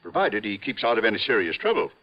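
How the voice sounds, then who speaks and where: An actor playing a courteous old family lawyer, mild and hesitant, in 1950s radio drama style. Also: male voice, on the low side, sing-song, fast talker. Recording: dull vintage radio recording.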